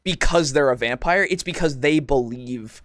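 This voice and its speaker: A man's voice: medium pitch, moderate pace, fairly bright tone, dry recording. Casual man in a dry, close recording. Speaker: podcast co-host and tabletop game master. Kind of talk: casual chat, telling game anecdotes.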